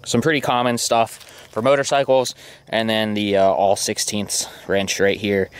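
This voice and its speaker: A man's voice: fairly low pitch, normal speed, clear, resonant tone, no echo. A young man talking casually and ramblingly as he shows his camping gear outdoors, with lots of fillers. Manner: relaxed and rambling, with many fillers. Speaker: motorcycle vlogger. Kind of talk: casual show-and-tell narration. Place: outdoors at a campsite.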